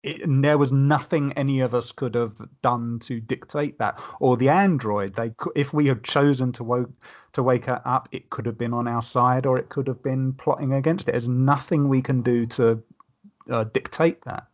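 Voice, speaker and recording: Male podcast guest, mid-pitched, casual and rambling storyteller. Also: fairly even intonation, normal speed, highs cut off. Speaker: podcast guest reviewing a tabletop game. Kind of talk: casual chat, recounting game anecdotes.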